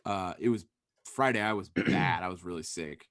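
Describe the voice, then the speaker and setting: A man co-hosting a podcast in casual chat, hoarse and losing his voice, calling in remotely and rambling with restarts. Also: low voice, moderate pace, fairly bright tone, dry recording. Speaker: podcast co-host. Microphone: remote call connection.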